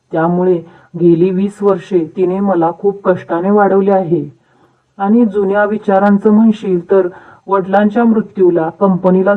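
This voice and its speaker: A narrow, phone-like recording, a little distant, carries a devoted young husband, acted by a man. He sounds reasonable and explanatory, as in a cartoon moral tale. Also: high-pitched. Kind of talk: animated moral story dubbing.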